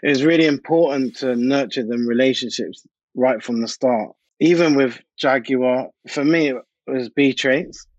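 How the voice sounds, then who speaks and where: A man's voice: medium pitch, normal speed, no echo, muffled recording. A British man talking casually and anecdotally as a DJ and producer being interviewed, in informal, colloquial speech. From United Kingdom. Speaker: interview guest, DJ and music producer.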